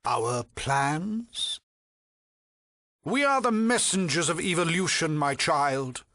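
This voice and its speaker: An actor playing a haughty, sinister cult priest in a video game, pompous and condescending, with silky menace beneath false piety and drops into menacing murmurs. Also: male voice, on the high side, animated, slow pace, pushed and bright, no room sound, studio quality, exaggerated voice. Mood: pious, lofty. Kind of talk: theatrical video game voice acting.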